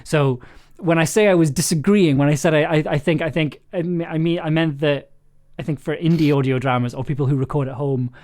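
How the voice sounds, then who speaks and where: A man's voice: on the high side, brisk, few pauses, darkish tone. Jokey man chatting on a livestream. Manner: jokey, self-deprecating, prone to laughing. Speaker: audio drama maker hosting a live stream. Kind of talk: casual livestream chat.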